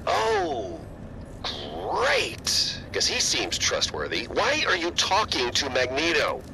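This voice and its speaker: A sceptical video game tough guy speaks over noisy ambience. He is gruff and wry, with a gravelly edge and extremely animated pitch. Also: takes it slowly, heavily acted. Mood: sarcastic. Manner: sarcastic, gruff. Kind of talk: scripted video game voice acting.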